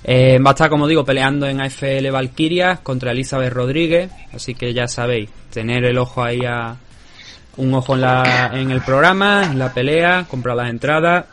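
A man's voice: mid-range voice, moderate pace, a bit bright, slight background noise. A man with a Spanish accent hosting a podcast, talking casually and rambling with many restarts. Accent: Spanish from Spain. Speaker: podcast host. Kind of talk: casual chat. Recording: remote call.

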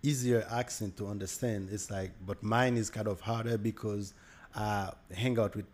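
A man's voice: lowish voice, steady tone, moderate pace, darkish tone. A young man with a Haitian accent, talking casually as a podcast guest, with many fillers and restarts. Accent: Haitian Creole. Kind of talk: casual conversation.

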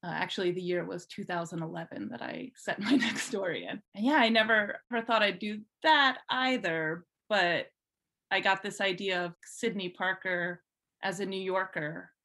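A woman's voice: mid-range voice, normal speed, sharp tone. A woman chatting informally as an interview guest, telling her own story with lots of "like" along the way. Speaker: podcast guest, fan fiction writer. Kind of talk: casual chat, telling personal story.

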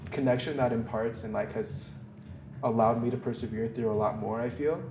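A man's voice: mid-range voice, steady tone, a little fast, noisy background. Rambling man in a roomy room. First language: Mandarin. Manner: rambling, many fillers and restarts. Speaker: podcast guest, photographer. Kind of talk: casual reflective chat.

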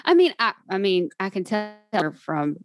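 A woman's voice: medium pitch, sing-song, a little slow. A woman from Kentucky with a Southern US accent, hosting a casual interview and talking in a chatty, giggly way with many fillers. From Kentucky, USA. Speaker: podcast host.

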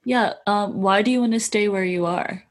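A woman's voice: mid-range voice, moderate pace. A woman co-hosting an interview, asking curious, loosely phrased questions.

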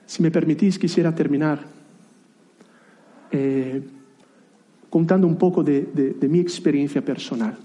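A man's voice: a little high, a little slow, long pauses, dark tone. A man in his thirties, a native Portuguese speaker, preaching a sermon in a conversational way with light self-deprecating asides and frequent restarts. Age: 30s.

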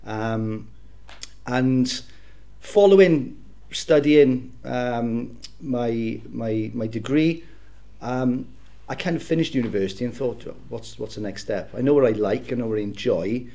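A man in his thirties from South Wales with a Welsh accent, a podcast guest reflecting on his career in a warm, easygoing way with easy laughs. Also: mid-range voice, unhurried. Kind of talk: casual interview chat.

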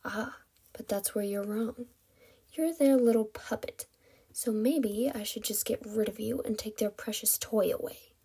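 A woman voicing a menacing, mocking male kidnapper in a hollow, breathy hissing whisper for a story reading. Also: fairly high pitch, steady tone, mellow, full character voice. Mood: taunting menace. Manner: taunting, drawn-out menace.

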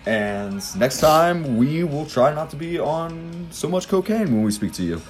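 A man's voice: a little high, sing-song, a little slow, faint music behind. A young man co-hosting a podcast, chatting loosely and joking around in playful banter. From Ohio. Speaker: podcast co-host. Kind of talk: casual chat.

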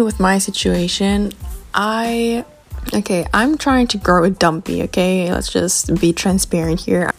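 Young woman doing a chatty podcast, creaky just woke up voice, candid and slangy, bit of music behind. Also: mid-range voice. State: just woke up, slightly raspy morning voice. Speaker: podcast host. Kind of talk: casual solo podcast talk.

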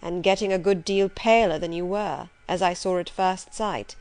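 Brusque gentleman of the manor, voiced by a woman reader, commanding and probing. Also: on the low side, fairly even intonation, normal speed, lightly acted. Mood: insistent. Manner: insistent, domineering.

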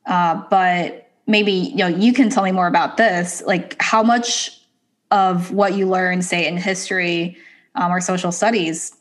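A woman in casual conversation, thoughtful and measured, pausing with hesitant fillers. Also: lowish voice, fairly even intonation.

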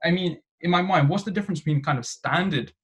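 A man hosting a podcast, relaxed, chatting with his guest with many restarts and "uh" fillers. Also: high voice, fast. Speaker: podcast host. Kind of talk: casual podcast interviewing.

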